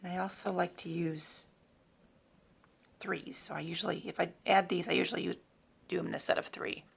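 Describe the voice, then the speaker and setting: Raspy, creaky-voiced woman giving a craft demo. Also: on the low side, steady tone, fairly quick. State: hoarse, throat-clearing from long talking. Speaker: host of a papercraft tutorial. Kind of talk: casual craft demonstration, talking while working.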